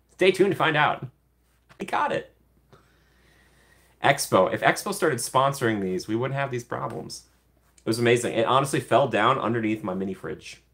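A young man in his twenties hosts a laid-back livestream from a small room at his desk, and his voice sits a little low. He chats easily and answers viewer comments as they come in. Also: a bit bright. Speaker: livestream host. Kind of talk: casual livestream chat.

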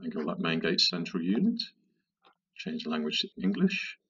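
A man narrating a software tutorial in a methodical, explanatory, informal way. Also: low voice, sing-song, normal speed, far from the mic, muffled recording, a little hiss or hum. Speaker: product tutorial presenter. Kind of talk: software walkthrough narration, conversational.

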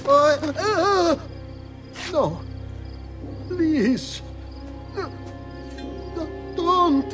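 A man's voice: very high-pitched, drawn-out words, pauses often, distant mic, music in the background, exaggerated voice. An actor playing a frightened, excuse-making older nobleman in a video game, defensive and pleading. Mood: terrified pleading. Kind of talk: dramatic video game acting.

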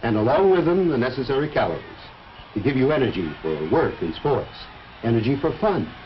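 Man acting as fatherly 50s school film coach, explains things earnestly, very animated, noisy muffled old soundtrack. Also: mid-range voice, a little fast, close to an everyday voice. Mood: earnest lecturing. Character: firm, fatherly male coach and biology teacher, lecturing. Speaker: coach and biology teacher. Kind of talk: scripted 1950s educational film acting.

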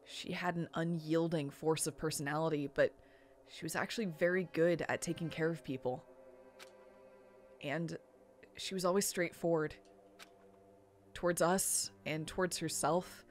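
A somber young man narrating a game story as a woman reads him, low and subdued. He sounds solemn and grief-stricken, with soft music behind him.

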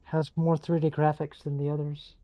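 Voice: medium pitch, level tone, normal speed, no echo, lo-fi sound. A guest on a game stream, joking and teasing the host in casual chat. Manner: teasing, playful.

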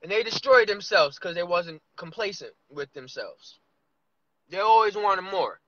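A man in his thirties vlogging from inside a car, musing loosely with drawn-out asides. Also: high voice, expressive, sharp tone, no echo. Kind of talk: casual rambling monologue. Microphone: phone camera on dashboard. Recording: lo-fi phone audio.

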